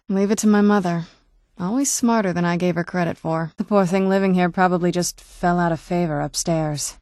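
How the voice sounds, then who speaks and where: A woman's voice: mid-range voice, a little mellow, dry recording, lightly acted. An actress playing a young-looking, guarded female vampire in a video game, speaking in a dry, earnest, conversational way. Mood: wry, confiding. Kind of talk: video game character dialogue.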